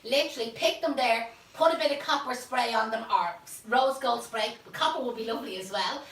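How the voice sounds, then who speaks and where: A woman's voice: fairly high pitch, a little fast, fairly bright tone. Dublin lady showing a flower arrangement live, chatty and homely, sounds a bit far from the mic. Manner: chatty, homely, jokes asides. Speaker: florist teacher and host. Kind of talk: live floral demonstration. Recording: roomy, mic at a distance.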